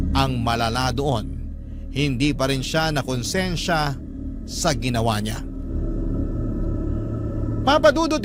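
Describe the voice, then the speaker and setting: A man's voice: fairly high pitch, background noise, lightly acted. A man playing an earnest letter sender recounting his troubled past, in Filipino radio storytelling style. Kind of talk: dramatic first-person radio storytelling.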